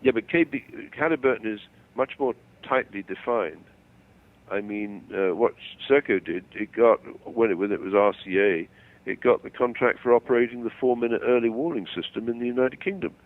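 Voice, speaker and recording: An older man explaining his views over the phone, self-assured but halting and digressive. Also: fairly low pitch. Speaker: phone-in guest. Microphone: telephone. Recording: tinny narrow-band phone call.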